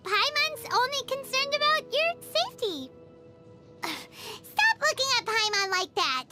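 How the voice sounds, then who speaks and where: A woman voicing a tiny, excitable, pouty fairy companion in a childlike, squeaky voice for a video game. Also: a little slow, full character voice. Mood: worried, pouty. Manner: refers to self in third person, exclamatory. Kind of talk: animated game voice acting. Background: background music.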